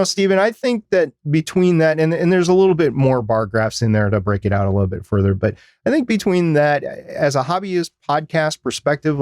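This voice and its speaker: An American man talks as a podcast co-host in a casual, discursive way. His voice sits at a medium pitch, and the sound is dry, with hardly any room to it. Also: a little mellow, no echo. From United States.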